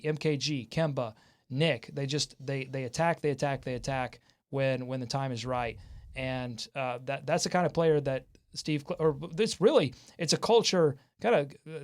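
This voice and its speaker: A man hosting a live sports talk show in a casual way, often halting as he searches for words. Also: fairly high pitch. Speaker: sports podcast host.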